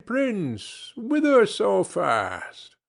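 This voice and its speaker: A man acts out a small, ugly storybook dwarf with a high, lifted voice and a husky edge. The delivery is deliberate and drawn out, swooping as it commands and instructs like a sly elf of fairy tales. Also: wildly swooping pitch, exaggerated voice. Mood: challenging. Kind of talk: fairy-tale storybook character voice.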